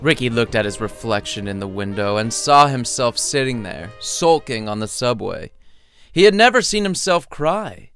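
A man's voice: medium pitch, lively intonation, moderate pace, fairly bright tone. A man reading his own short story as scripted narration, with a dramatic, playful storytelling delivery. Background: music under the voice.

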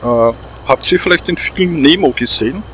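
A man's voice: on the high side, expressive, moderate pace, a crowd in the background, poor audio. A middle-aged man with an Austrian accent, hosting a let's play in casual, chatty, repetitive phrasing. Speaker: host of a gaming let's play. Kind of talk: casual chat commentary over a game.

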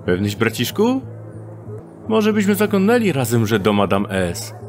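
A scheming thief, acted by a man, in an audio drama, coaxing in old-fashioned, stilted phrasing. His pitch swoops about and he drags his words out slowly. Faint music plays underneath. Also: huge pitch swings, a little mellow. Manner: archaic, stilted speech, sly and persuasive. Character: shifty male thief Młot, archaic wheedling schemer.

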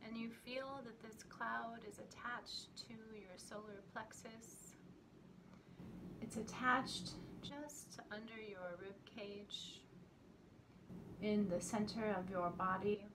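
A woman leading a guided meditation in a soothing, gentle manner, leaving long pauses between short phrases. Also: medium pitch, fairly even intonation. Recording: clearly noisy recording with ambient sound.

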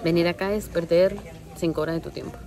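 A woman's voice: lowish voice, fairly even intonation, fast talker, creaky, mellow. A woman talking casually and playfully to her viewers in a family vlog, speaking from inside a store. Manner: chatty, playful, addresses viewers directly. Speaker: family vlogger. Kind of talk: casual vlog chat to camera. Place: indoor store pharmacy area. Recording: music playing in background.

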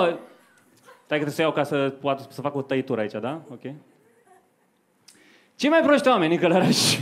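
High-voiced young male stand-up comedian. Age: young adult. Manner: conversational storytelling to a live audience. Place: on stage in a dark comedy club. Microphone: handheld microphone. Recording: faint noise, live club stage recording.

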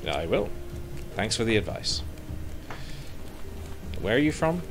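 Streamer guy, low-ish voice, chats to himself over game music on a headset. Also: very animated, a little slow. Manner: chatty, talks to himself while playing. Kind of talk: casual gameplay commentary.

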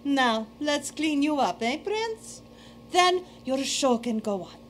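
A woman playing a tender, soft-spoken mother. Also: high-pitched, animated, slow, a little hiss or hum.

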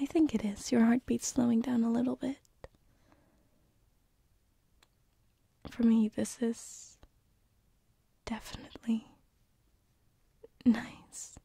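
A woman playing a tender, lovestruck succubus-turned-sleep paralysis demon in an ASMR roleplay, with a soft, breathy whisper and an intimate, hesitant manner. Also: a little high, no echo, exaggerated voice. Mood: tender, teasing. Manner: intimate, hesitant, slow and soothing. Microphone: close binaural microphone.

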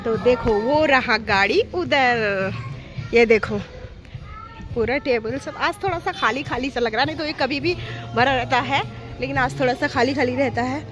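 A woman's voice: sits high, moderate pace, music in the background. Chatty woman vlogging on her phone at night. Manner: chatty, talks straight to viewers. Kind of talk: casual travel vlog chat. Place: outdoor cafe and street at night. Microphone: phone camera held at arm's length.

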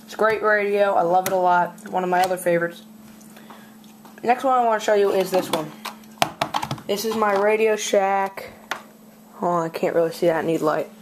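A speaker casually showing off a collection on a handheld camera at home, halting and often clearing the throat or coughing. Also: medium pitch. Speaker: video host showing a collection. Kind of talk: casual handheld product show-and-tell. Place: indoors at a desk in a home room. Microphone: handheld camera's built-in mic. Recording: noisy home camera audio, clicks.

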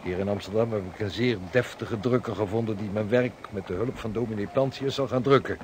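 An actor playing a hearty, hospitable seasoned sea captain in a Dutch radio drama, speaking jovially in formal old-fashioned phrases. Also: male voice, fairly low pitch, fairly quick, a little mellow, natural voice. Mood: genial. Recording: radio play with background ambience noise.

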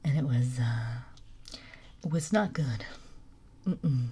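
A woman streamer whispering softly in an intimate ASMR livestream, with a raspy, deep voice and frequent giggles. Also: expressive, takes it slowly.

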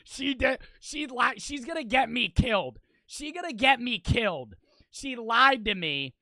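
A man in his twenties streaming a game on a headset mic, excitable and swearing, with his voice cracking from wear. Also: extremely high pitch, a little slow, dry recording, studio quality. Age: 20s. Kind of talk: livestream gaming commentary. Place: small bedroom streaming setup. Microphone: headset mic on boom arm.

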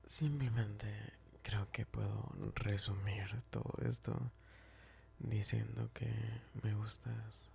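A man playing a smitten, tender and teasing best friend in an ASMR roleplay, speaking softly and hesitantly, often whispering and repeating himself. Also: fairly low pitch, fairly even intonation, slow, a little hiss or hum, heavily acted. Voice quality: soft, breathy, often whispering. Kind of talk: ASMR roleplay, intimate chat.

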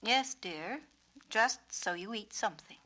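A woman voicing a practical, no-nonsense mother in a storybook, brisk and firm. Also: mid-range voice, animated, bright, forceful tone.

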